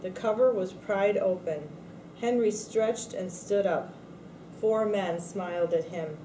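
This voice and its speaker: Unhurried woman narrating a picture book. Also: mid-range voice, mellow, distant mic. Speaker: storybook reader at a read-aloud event. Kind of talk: scripted picture-book read-aloud. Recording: echoey room, faint background noise.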